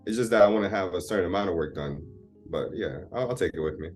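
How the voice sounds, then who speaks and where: Deep-voiced man, candid and self-assured. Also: expressive, fast, darkish tone, soft background music. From Jamaica. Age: 30s. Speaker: therapy client guest. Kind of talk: casual therapy conversation.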